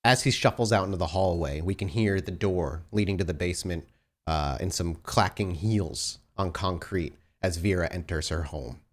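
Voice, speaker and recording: A man with a fairly low voice works as game master. He narrates a tabletop roleplay scene, describing the setting as it unfolds. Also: normal speed.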